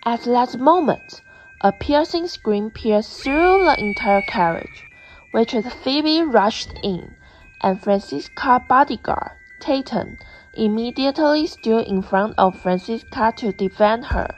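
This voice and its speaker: Slow-paced young woman narrator. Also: a little high, lively intonation, a little hiss or hum, low-quality recording. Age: young adult. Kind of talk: scripted narration of a student English radio drama. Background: background music.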